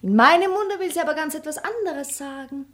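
A pert, shrewd young maid. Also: female voice, high voice, brisk, fairly bright tone. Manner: pert, mocking, coaxing. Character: sharp-tongued young maid, coquettish and shrewd. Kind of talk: radio play acting.

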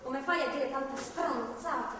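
High-voiced, angry, defiant captive woman. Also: measured pace, fairly bright tone. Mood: furious, mocking. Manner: sarcastic, pleading, profane outbursts. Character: angry, foul-mouthed captive pregnant woman, defiant and wounded. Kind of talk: stage drama acting. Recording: echoey hall from far off, faint noise.

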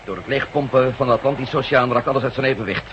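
Fast-talking, confident adventurer, played by a man. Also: medium pitch, lightly acted. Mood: assured, urgent. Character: confident, quick-thinking male adventurer and former astronaut. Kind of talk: Dutch radio drama acting. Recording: dull, very noisy old radio recording.

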